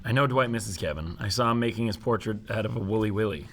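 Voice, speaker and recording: An actor playing an easygoing, sensible office prankster in a sitcom table read, understated in delivery. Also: male voice, on the low side, fast talker, fairly bright tone. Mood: reasonable, calm.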